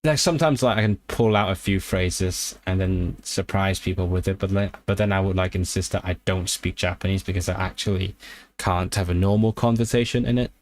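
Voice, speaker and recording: A man talks to his viewers in a loose, rambling livestream style. His voice sits a little low. He corrects himself often and fills pauses as he goes. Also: steady tone, a little mellow. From Hong Kong. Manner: rambling, with fillers and self-corrections. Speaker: language YouTuber on a livestream. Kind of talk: casual livestream chat answering viewers.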